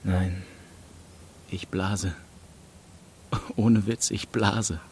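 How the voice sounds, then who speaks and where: Slow, low-voiced sardonic male narrator. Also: fairly even intonation. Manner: sardonic, terse. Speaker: audiobook narrator. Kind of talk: scripted first-person narration as a jaded teenager. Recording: faint background noise.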